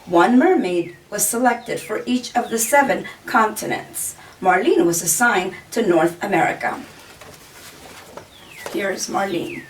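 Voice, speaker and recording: Woman reading a picture book, lively intonation. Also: medium pitch. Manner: deliberate, pauses to point out the pictures. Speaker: children's author reading own picture book aloud. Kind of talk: read-aloud storytelling for children. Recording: distant mic, faint background noise.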